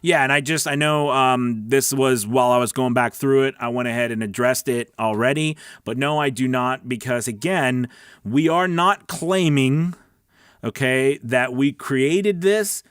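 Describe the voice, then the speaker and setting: A man's voice: mid-range voice, measured pace, a bit bright, clean tone, dry recording, clean studio sound. A man giving casual, conversational instruction as a livestream coach, often checking in with his listeners. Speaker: voice-over coach hosting a weekly livestream.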